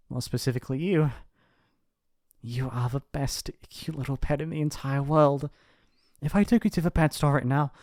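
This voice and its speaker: A man's voice: on the high side, a little mellow, no echo, lightly acted. Man acting a shy, doting young mafia heir, dry close-up. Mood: playful, adoring. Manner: hesitant, earnest, stammering, affectionate. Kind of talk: intimate ASMR roleplay monologue. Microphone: close studio mic, dry.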